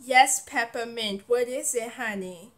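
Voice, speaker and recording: A woman's voice: fairly high pitch, a little slow, a bit bright. A woman playing a firm mother who lectures her children. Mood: calm. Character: stern, scolding mother.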